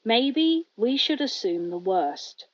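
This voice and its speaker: A woman voicing a hesitant, urgent stranger pleading over studio loudspeakers in an audio story. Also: on the high side, sing-song, dull audio, exaggerated voice. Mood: worried, pleading. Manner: hesitant, halting.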